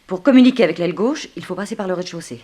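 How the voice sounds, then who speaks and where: A woman's voice: fairly low pitch, rapid-fire, mellow, lightly acted. An actress playing an anxious young captive woman, earnest and fretful, in a 1960s French radio serial. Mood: anxious, subdued.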